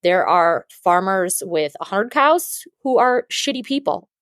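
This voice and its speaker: A young woman dairy farmer talks on a podcast in a blunt, animated way and swears for emphasis. Her pitch sits in the middle range, and the recording is dry and close. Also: unhurried, no room sound. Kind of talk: casual podcast chat.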